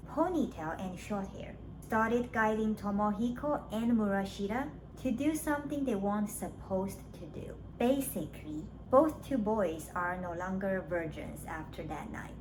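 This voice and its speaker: A woman narrating a scripted summary of a story, as a book reviewer does. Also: mid-range voice. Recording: clearly noisy background.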